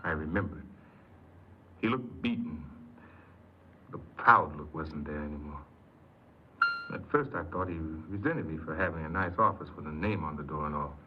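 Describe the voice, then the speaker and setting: An actor playing a desperate, earnest ex-convict in a 1950s American radio crime drama, pleading and hesitant, often repeating himself. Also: male voice, low-pitched. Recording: muffled, hissy 1950s radio recording.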